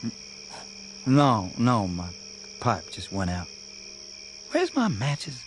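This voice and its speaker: Unhurried, folksy young country man. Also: mid-range voice, huge pitch swings, background noise. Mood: reassuring, amused. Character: easygoing young country husband-to-be with secretive calm. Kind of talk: radio drama acting.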